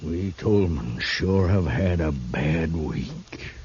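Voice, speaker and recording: A man playing a simple, rueful frontier hill man in a 1950s radio western, with a rough husky voice, halting folksy speech and a rural American accent. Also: very deep voice, lively intonation, music in the background, full character voice. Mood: mournful. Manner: halting, drawn-out folksy talk. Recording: muffled 1950s radio broadcast.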